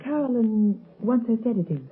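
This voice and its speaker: A woman's voice: a little high, expressive, moderate pace, slightly rough. An actress playing a polite, anxious young society woman in an old radio mystery, earnest and full of concern. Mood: fearful, urgent. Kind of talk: 1930s-40s radio mystery acting. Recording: muffled, lo-fi vintage radio recording.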